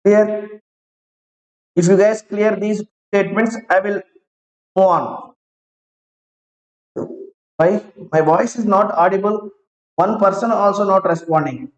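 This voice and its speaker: A man teaching an online class, talking slowly, repeating each phrase and checking that students follow. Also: high-pitched. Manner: slow, repeats each phrase several times; asks students to confirm they follow. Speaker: IT trainer. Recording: roomy, dull lo-fi online class audio.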